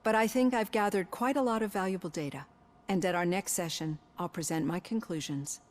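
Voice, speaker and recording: Woman as a composed lower-voiced psychologist. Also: a little fast, natural voice. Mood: reassuring, analytical. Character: composed, analytical female psychologist questioning her patient. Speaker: therapist. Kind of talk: scripted game dialogue.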